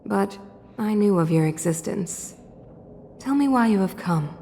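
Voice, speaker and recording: A woman voicing a regal, commanding supreme ruler in a video game, speaking in an imperious, measured way. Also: medium pitch, lively intonation, creaky, mellow, slight background noise. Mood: measured, knowing. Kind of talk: dramatic game voice acting. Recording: long hall reverb.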